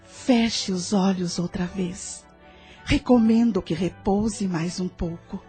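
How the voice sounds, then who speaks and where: A woman playing a gentle spirit caretaker in an audio drama, calm and tender, with a soft, velvety voice. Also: medium pitch, takes it slowly, faint music behind, natural voice. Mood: patient, guiding. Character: gentle, soothing female spirit caretaker of the newly dead.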